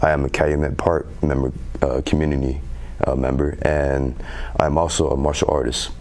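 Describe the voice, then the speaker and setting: A teenage young man, a youth council member, answering interview questions earnestly in a very deep voice with some halting fillers. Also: little pitch movement, darkish tone. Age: teens. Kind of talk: community TV interview.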